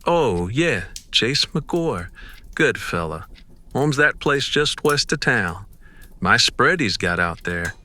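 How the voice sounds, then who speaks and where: Folksy frontier shopkeeper played by a man, bright forward tone, swinging inflection, nosy and probing, radio western. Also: mid-range voice, sing-song, takes it slowly, heavily acted. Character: folksy, nosy frontier general store clerk. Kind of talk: old-time radio western acting.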